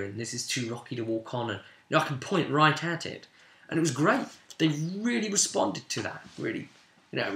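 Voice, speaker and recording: A man hosting a role-playing vidcast as game master, casually recapping a tabletop session in an animated storytelling way with sudden emphatic swells. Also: medium pitch. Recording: roomy, echoing room sound.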